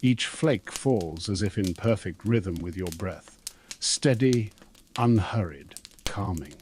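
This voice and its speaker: A man narrating a scripted guided sleep meditation in a slow, soothing, gentle manner. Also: low voice, lively intonation, slight background noise.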